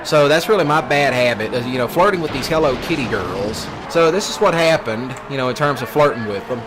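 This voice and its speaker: A man's voice: mid-range voice, animated, brisk, noisy background. A young man with a Southern US accent rants and rambles casually over a let's play, in a colloquial, jokey, self-deprecating way. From Mississippi, US. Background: game music and vehicle sounds.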